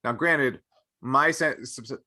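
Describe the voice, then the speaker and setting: A man's voice: mid-range voice, takes it slowly, bright, forceful tone. A man co-hosting a podcast, chatting casually and giving opinionated, nerdy takes with hesitant, wandering phrasing. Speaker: podcast co-host. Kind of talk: casual panel chat about a sci-fi show.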